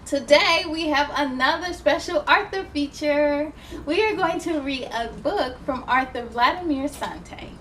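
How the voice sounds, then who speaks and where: Female children's story host, high voice, expressive and playful read-aloud delivery. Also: a little slow, slight background noise. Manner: expressive, playful, addresses young listeners directly.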